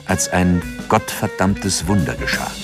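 A man narrating a radio play in a measured, grave manner. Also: low voice, over loud music. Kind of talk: scripted narration.

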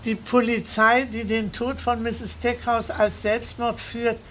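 Deferential, uneasy housekeeper. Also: female voice, a little high, little pitch movement, takes it slowly, slight background noise. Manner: polite, brief, hesitant. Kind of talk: 1980s German radio drama acting.

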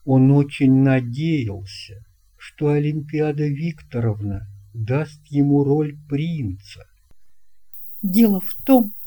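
Audiobook narrator, very mellow dark timbre, slow delivery, expressive children's storytelling, middle pitch.

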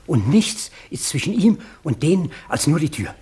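An actor playing an excitable man who dramatically imagines a crime scene in a German radio play. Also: a little high, normal speed, slightly rough, exaggerated voice. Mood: mounting suspense.

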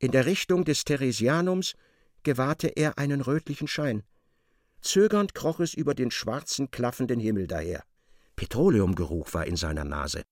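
An actor playing an intense storyteller, narrating a feverish tale for radio drama. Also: male voice, mid-range voice, animated, moderate pace, a little mellow, dry recording, studio quality, close to an everyday voice. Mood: suspenseful.

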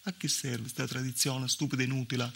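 A man's voice: mid-range voice, level tone, brisk, gravelly, bright, forceful tone. A male stage actor voicing village men who grumble stubbornly and repetitively against a useless tradition in a theatrical monologue. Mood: grumbling, insistent. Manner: complaining, insistent, repetitive chant. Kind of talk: theatrical monologue, quoted crowd voices. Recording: noisy live theatre recording.

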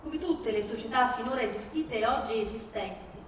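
Echoey, high-pitched woman reading dramatically. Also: lively intonation, brisk. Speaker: stage reader. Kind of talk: theatrical dramatic reading with music concert. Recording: very echoey, distant, clearly noisy live recording.

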